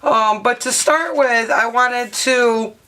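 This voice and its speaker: A hoarse woman in her thirties talks through a craft project at her studio desk in a casual, rambling way, full of "uh"s. Her voice sits a little high and sounds bright despite the strain, and she speaks slowly. A camera mic above the desk picks her up with a faint hiss behind her. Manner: chatty, rambling with fillers. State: hoarse, partly lost voice. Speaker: craft video host. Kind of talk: casual craft tutorial narration. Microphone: overhead camera mic, speaker off-frame.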